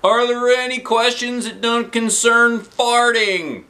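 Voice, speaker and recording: A man's voice: very high-pitched, slow pace, bright, forceful tone, voice pushed up, exaggerated voice. A man voicing an enthusiastic, firm male school principal from a children's storybook, in an emphatic announcing manner.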